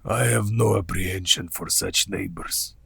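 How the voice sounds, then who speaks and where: An ancient vampire count for a radio play. The voice is low, very husky and unhurried, with courtly, formal menace. It sounds close and dry, with faint music at times. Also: male voice, fairly even intonation, a bit bright, no room sound, exaggerated voice. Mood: courtly, ominous. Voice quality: gravelly, husky. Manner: slow, formal, deliberate.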